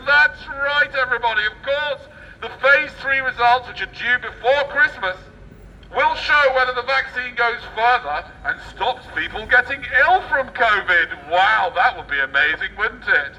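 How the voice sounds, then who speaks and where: A man's voice: very high-pitched, moderate pace, pushed and bright. A man reading the news aloud as satirical street oratory, with theatrical, sarcastic mock enthusiasm. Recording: distant outdoor mic, faint street noise.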